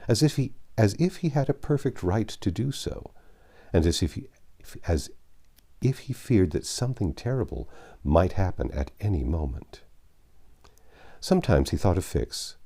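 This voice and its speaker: A man with a low, mellow voice narrates a classic novel. His pitch moves freely as he goes, and his delivery is measured and expressive, like an audiobook storyteller.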